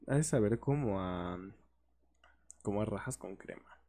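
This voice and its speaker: A man's voice: fairly low pitch, expressive, measured pace, dark tone. A young man with a Mexican accent co-hosting a casual livestream chat, joking and slangy. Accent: Mexican Spanish. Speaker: podcast co-host.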